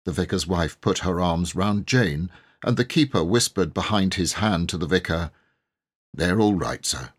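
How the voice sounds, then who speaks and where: Man reading a kids audiobook, lowish voice, bit husky, storytelling. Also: normal speed. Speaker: audiobook narrator. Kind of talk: scripted children's story narration.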